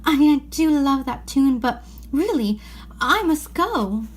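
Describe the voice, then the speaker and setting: Woman voicing an earnest little girl, high-pitched. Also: lively intonation, a little slow. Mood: apologetic, flustered. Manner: pleading, exclaiming. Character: Lucy, earnest, anxious, kind little girl.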